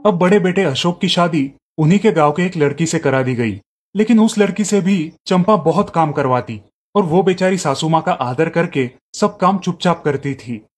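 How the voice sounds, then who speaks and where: A man narrating a family cartoon story in a steady storytelling manner. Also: fairly high pitch, normal speed, soft background music.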